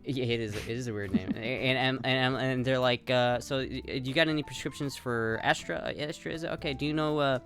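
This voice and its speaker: A man's voice: mid-range voice, steady tone, a little fast, hardly any pauses, a bit bright, faint music behind. A man hosting a livestream in casual chat, stammering through restarts and laughing easily.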